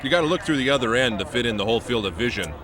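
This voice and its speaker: A man acts a gruff squad veteran in an anime game. He is cocky and blunt, with a medium-pitched voice and slangy, casual talk. Clear noise runs behind him. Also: lively intonation, rapid-fire, lightly acted. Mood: blunt, confident. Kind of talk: English anime game dub acting.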